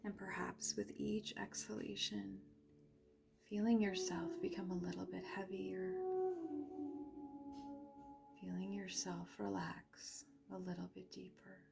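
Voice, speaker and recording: A woman leading a guided meditation in a soft, breathy half-whisper, gently and with long pauses. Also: mid-range voice, little pitch movement. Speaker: meditation guide, yoga teacher. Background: music.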